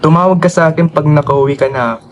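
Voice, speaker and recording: A man's voice: fairly high pitch, quick pace, lightly acted. A man playing an earnest, protective and defiant young man in a radio drama. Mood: caring.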